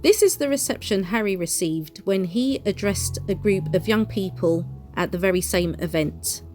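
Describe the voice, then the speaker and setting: A woman delivers opinion commentary with deliberate emphasis and open indignation. Her voice sits at an ordinary middle pitch. A faint bed of music plays behind her. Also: a little mellow. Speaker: commentary channel host. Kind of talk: opinion commentary to camera or voice-over.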